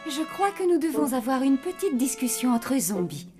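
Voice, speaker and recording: A woman's voice: high-pitched, sing-song, moderate pace, over music. A woman voicing a cheerful zombie mother who runs a hotel in a children's cartoon. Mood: motherly. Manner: bubbly, motherly.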